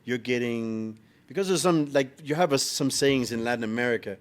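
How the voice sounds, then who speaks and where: This is a man from Denmark in a loose, unfiltered podcast conversation. His voice sits at a medium pitch for a man. He speaks bluntly as a co-host and swears freely as he goes. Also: brisk. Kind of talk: unfiltered casual chat.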